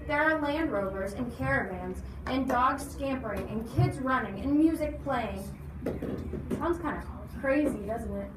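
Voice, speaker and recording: A woman's voice: high-pitched, expressive, measured pace. A woman reading a story aloud expressively, putting questions to her listeners. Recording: echoey noisy room, distant mic.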